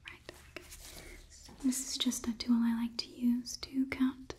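A young woman doing a whispered ASMR roleplay as a polite, clinical examiner, softly giving instructions up close to the microphone. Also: a little high, little pitch movement. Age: young adult. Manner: soft, deliberate, instructive. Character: polite, clinical robot overlord examining a human. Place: small indoor room set. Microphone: close microphone, just out of frame.

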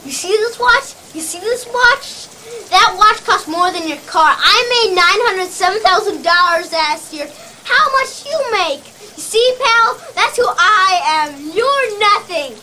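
A child performs a bullying sales boss in a theatrical puppet parody, with a strikingly high voice. The delivery is brisk, emphatic and full of scornful taunts, picked up at some distance over faint noise. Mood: furious, taunting. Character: ruthless, bullying sales boss berating his underperforming salesmen.

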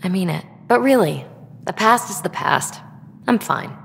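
A woman playing a breezy, excitable alien tinkerer in a video game, playful and flippant but guarded about her feelings. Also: medium pitch, vocal fry, big-room sound, slight background noise. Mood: breezy, dismissive. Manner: playful, flippant, quick to brush off. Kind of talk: video game dialogue acting.

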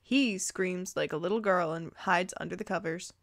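A woman reading a story aloud informally, breaking in with expressive, amused reactions and exclamations. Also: on the low side, normal speed, no echo, studio quality. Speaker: host reading fan fiction aloud with commentary.

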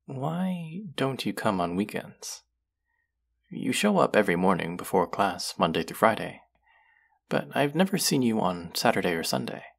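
A man's voice: on the low side, sing-song, moderate pace, gravelly, exaggerated voice. A man voicing a friendly, teasing young male barista in an audio roleplay, chatty and playful toward a regular customer.

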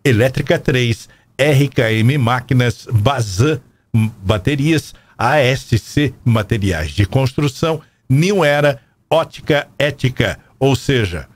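A Brazilian man in his sixties doing chatty, playful promotional announcing in a radio studio. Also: mid-range voice. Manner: upbeat, playful salesmanship. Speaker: radio and TV presenter. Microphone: large broadcast mic on boom arm.

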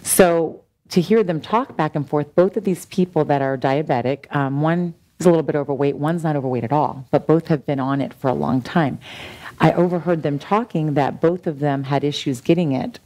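Low-voiced woman coach, easygoing. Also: normal speed, dark tone, poor audio. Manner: conversational, many fillers like um and you know. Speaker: health coach guest. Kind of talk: casual chat. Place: indoor TV studio set, seated at a café table.

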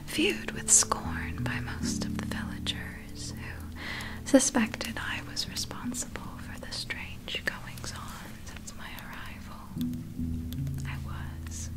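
An ageless vampire, played by a woman, whispers in slow, measured phrases for an ASMR roleplay. The voice is fairly high and hushed, with formal, old-fashioned diction and an eerily courteous, possessive manner. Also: rough recording.

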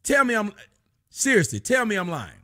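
A middle-aged man hosting a livestream from his home, giving loud, mocking commentary full of cursing on a video clip. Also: high voice, wildly swooping pitch, moderate pace. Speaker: livestream host. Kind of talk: profane comedic commentary on a clip. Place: indoor home room.

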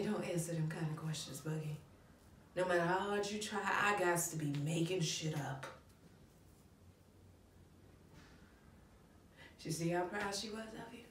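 A woman's voice: lowish voice, natural voice. A woman acting a dramatic stage monologue as an embittered, wounded young mother, raw and emotional, dropping into near-whispers. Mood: wounded, resentful. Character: embittered, wounded young mother confronting her child's absent father. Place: echoey room. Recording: echoey room, distant mic, faint noise.

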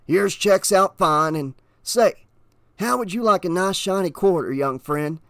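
A man's voice: sits high, measured pace, creaky, fairly bright tone, no room sound, clean studio sound, full character voice. A man voicing a shady, weary stranger with a grating voice and a coaxing, menacing manner in an audiobook. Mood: sly coaxing. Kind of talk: audiobook character voice.

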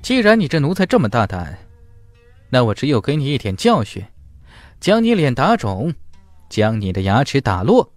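A cold, arrogant young martial master acted by a male narrator from a fantasy audiobook. He taunts haughtily with an animated, swinging delivery. The voice is close and dry. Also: medium pitch, normal speed, no echo, soft background music. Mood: stern scolding.

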